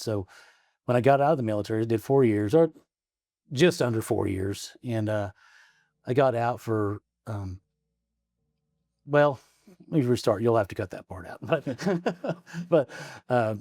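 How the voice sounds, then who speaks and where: A man in his forties from Arkansas chats as an interview guest in a capitol office, his voice at an ordinary middle pitch. He rambles, restarts his stories and chuckles often. The sound is close and dry, with no room echo. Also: lively intonation, moderate pace, pauses often, a little mellow. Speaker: guest, state representative. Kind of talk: casual interview conversation.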